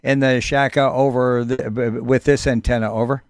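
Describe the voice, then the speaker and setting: A man acting as net control on a ham radio net, chatting in a friendly, folksy way with lots of fillers and pauses. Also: mid-range voice, level tone, clean tone, no echo. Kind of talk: radio net operating chat.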